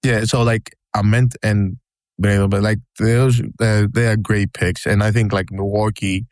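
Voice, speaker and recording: A man from Greece with a Greek accent, co-hosting a casual sports podcast, talking in an animated, emphatic way and repeating himself for effect. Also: lowish voice, unhurried, no room sound, studio quality. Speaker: podcast co-host. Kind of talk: casual chat.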